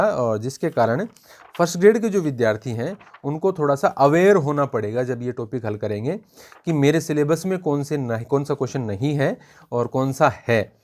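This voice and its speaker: A man giving an informal spoken review for students as an exam coach, explaining in a measured way and pointing out examples. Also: mid-range voice, darkish tone.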